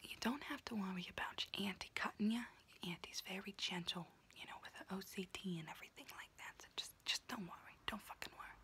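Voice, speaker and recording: A woman's voice: medium pitch, fast talker, bright, forceful tone. A woman voicing an unhinged, conspiracy-minded auntie doing someone's nails, whispering throughout in an ASMR roleplay and rambling with pet names.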